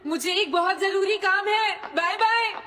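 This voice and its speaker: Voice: extremely high pitch, unhurried, bright, forceful tone, a little hiss or hum, heavily acted. A voice actor playing an anxious, whiny schoolboy of about ten in a Hindi cartoon dub, plaintive and dramatic. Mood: panicked. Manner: plaintive, dramatic, self-pitying. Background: music.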